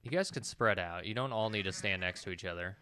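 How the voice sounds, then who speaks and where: A man's voice: sits low, fast talker, pushed and bright, a little hiss or hum. A man in his thirties giving casual gameplay commentary, talking to himself in a self-deprecating way. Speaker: let's play gamer. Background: faint game music.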